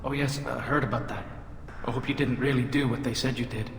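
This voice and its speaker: A man voicing a haunted young male alien survivor in a video game, halting and timid. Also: medium pitch, fairly even intonation, a little hiss or hum, natural voice. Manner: halting, timid, self-deprecating. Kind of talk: video game dialogue acting. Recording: echoey reverberant hall.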